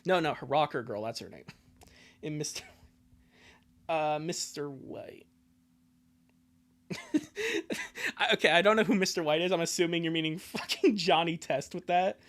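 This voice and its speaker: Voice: medium pitch, moderate pace, long pauses, a bit bright. A streamer giving casual, joking livestream commentary while reading a fan comic, with apologetic asides to viewers.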